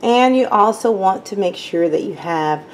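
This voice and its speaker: A woman vlogger casually showing her home bar while filming it, chatty and relaxed, with plenty of um and you know. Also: medium pitch, normal speed, a little hiss or hum. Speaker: lifestyle vlogger. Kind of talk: casual home tour talk to camera. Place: home basement bar, indoors.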